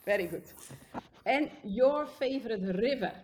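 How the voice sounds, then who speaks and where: A woman's voice: a little high, animated, a little slow, a little mellow. A Dutch-native woman co-hosting a podcast in a chatty, upbeat way. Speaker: podcast co-host. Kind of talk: casual podcast conversation.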